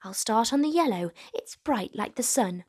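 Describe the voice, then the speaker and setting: A woman's voice: on the high side, animated, normal speed, a little mellow, breathy edge. A woman in her twenties hosting a children's storytime, reading and talking to young viewers in an encouraging way. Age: 20s. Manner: encouraging, addressing children directly. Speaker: children's storytime host and reader.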